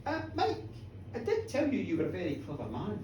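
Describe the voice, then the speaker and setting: A woman storyteller playing a sly wee fairy man who coaxes and quietly menaces in a folk tale. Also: on the low side, wildly swooping pitch, a little fast, voice pushed down. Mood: sly, coaxing. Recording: echoey live room, distant mic, background noise.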